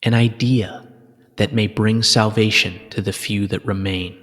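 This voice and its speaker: A man reading a fantasy story as scripted dramatic narration, in a solemn, measured storytelling manner. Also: fairly low pitch, steady tone, fairly bright tone, slight background noise. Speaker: narrator reading fan fiction. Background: music.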